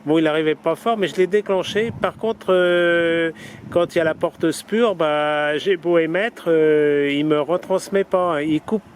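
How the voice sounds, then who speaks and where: Higher-voiced man outdoors, noisy background. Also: measured pace. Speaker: amateur radio operator. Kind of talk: casual ham radio chat. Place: outdoors, by road or vehicle. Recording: noisy outdoor recording with traffic.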